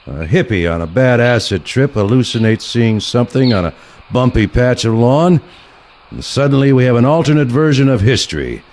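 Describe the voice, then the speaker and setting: A man playing a principled, weary older assassin in an audio play, speaking in a dry, terse, deadpan manner. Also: medium pitch, moderate pace, a little hiss or hum, close to an everyday voice. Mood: sardonic.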